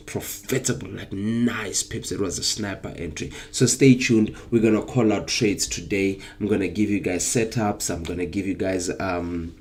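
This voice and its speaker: A young man hosting a live trading stream, sick with flu so his voice is less clear, upbeat and hyping up his viewers with plenty of ums. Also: low-pitched, darkish tone. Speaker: trading session host.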